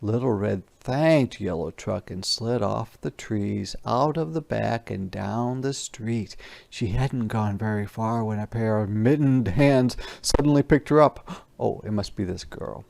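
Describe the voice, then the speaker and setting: A man teacher reading a children's storybook aloud, expressive and pausing to explain words to young listeners. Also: on the low side, creaky.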